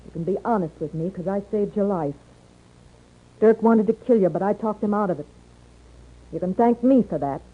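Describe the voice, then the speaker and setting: An actress playing an outlaw's wary, weary wife in a 1950s radio western, blunt and plain-spoken with a rural American accent. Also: female voice, medium pitch, normal speed, pauses often, slightly rough, natural voice. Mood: suspicious. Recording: muffled, band-limited 1950s radio recording.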